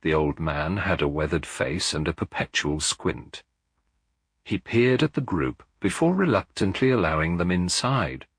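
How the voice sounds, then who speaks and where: A man narrating a detective story in a measured, dramatic audiobook style. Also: deep voice, big pitch swings, breathy edge, dry recording, clean studio sound.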